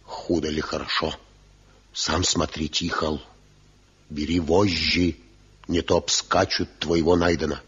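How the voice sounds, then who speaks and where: Wise stern old craftsman, deep gravel-husky voice, old radio play, kinda muffled. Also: level tone, unhurried, long pauses, a bit bright, full character voice. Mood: grave, reporting. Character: grave, respected old village master craftsman, counselling firmly. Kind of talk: Soviet radio drama acting. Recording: dull 1970 radio play recording.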